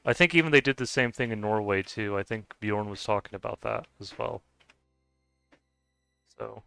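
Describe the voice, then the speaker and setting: A man's voice: on the low side, moderate pace, vocal fry, bright, forceful tone, smooth, no room sound. A man streaming a game, chatting casually with viewers and stumbling over how to say names. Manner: hesitant, stumbling over name pronunciations, chuckling. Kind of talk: casual live-stream chat. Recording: dry close mic with faint music behind.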